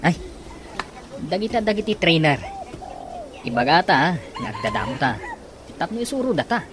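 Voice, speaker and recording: A man's voice: high-pitched, sing-song, normal speed, background noise. A man acting an eager, encouraging young office buddy in an Ilocano radio drama, coaxing and chummy in an Ilocano accent. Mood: enthusiastic.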